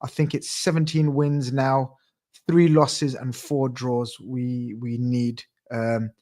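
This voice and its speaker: A man's voice: medium pitch, little pitch movement, slow pace, mellow. A young man hosting a casual live football chat show, upbeat, repeating phrases and reading out viewer comments. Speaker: show host.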